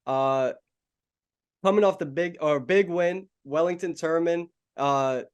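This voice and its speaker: A young adult man conducts a sports interview in a friendly, casual way, tossing in "bro" and "man". His voice sits on the higher side for a man. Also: slow, clear, resonant tone.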